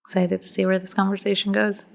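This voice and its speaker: A woman's voice: medium pitch, monotone, fast, gravelly. A woman in her forties from Alaska, chatting casually in an informal, encouraging way. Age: 40s. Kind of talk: casual conversation.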